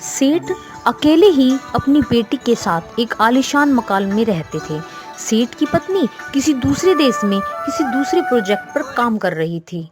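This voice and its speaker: Woman reading a story out, highish voice, lots of up n down, music behind. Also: very animated, measured pace. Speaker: story narrator. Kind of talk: scripted storybook narration.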